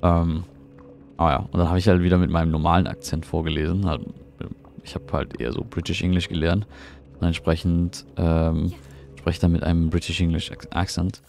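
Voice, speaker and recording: A man's voice: low voice, little pitch movement, a little fast, creaky, a little mellow. A middle-aged man chatting casually while streaming a game from home, rambling and answering his chat, often puzzled. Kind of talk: casual live gameplay commentary. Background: faint game music.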